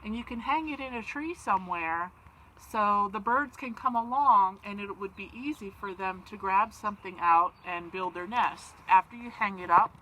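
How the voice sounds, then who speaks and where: A woman reading a children's story and chatting, expressive, with simple child-directed phrasing. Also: mid-range voice, normal speed, highs cut off, slight background noise. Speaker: story-time reader for children.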